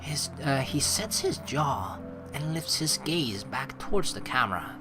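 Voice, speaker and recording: A man's voice: on the high side, very animated, unhurried, over music. A young man hosting a let's play, reading game narration aloud and adding casual commentary.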